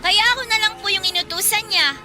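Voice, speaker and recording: A woman's voice: very high-pitched, quick pace, over music. An actress playing a polite, hesitant young provincial girl in a radio drama, speaking with childlike deference. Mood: polite, meek.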